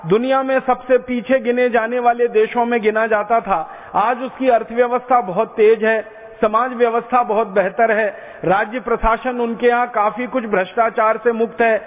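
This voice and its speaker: A man from India giving an impassioned public lecture, driving home each point with repeated parallel phrases. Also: extremely high pitch. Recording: tinny phone-like sound in a reverberant hall.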